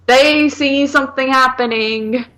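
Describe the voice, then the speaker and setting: A woman's voice: high voice, a little slow, sharp tone. A woman co-hosting a film review podcast, chatting casually and argumentatively in blunt, slangy speech peppered with "like.". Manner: blunt, exasperated, slangy, repeatedly hedging with "like".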